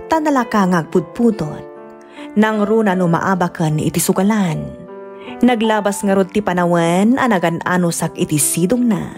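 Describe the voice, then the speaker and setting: A woman's voice: mid-range voice, a little mellow, close to an everyday voice. Female actor, weary wife as first-person drama narrator, subdued, faint music bed. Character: weary wife narrating her letter of hardship.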